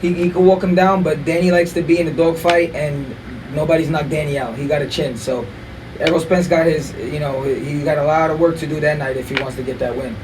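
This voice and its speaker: A man's voice: fairly high pitch, fairly even intonation, fairly quick, distant mic, crowd noise, low-quality recording. A man co-hosting a podcast, talking enthusiastically and hyping people up. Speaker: podcast co-host. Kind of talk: casual boxing podcast talk.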